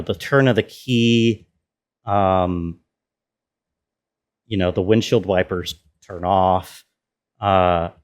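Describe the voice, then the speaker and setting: Guy walking through sound design, lowish clear voice, informal, figuring out his words as he goes. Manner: informal, explanatory, thinking aloud. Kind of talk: casual tutorial walkthrough.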